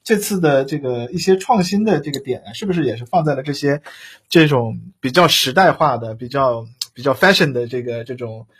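A man hosting a casual discussion, feeling his way through long, halting questions. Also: mid-range voice, expressive, husky. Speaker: host.

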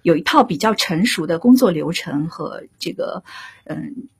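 A woman giving explanatory, measured and courteous answers as a guest in an interview. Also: on the low side, animated, husky. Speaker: radio drama director, interview guest.

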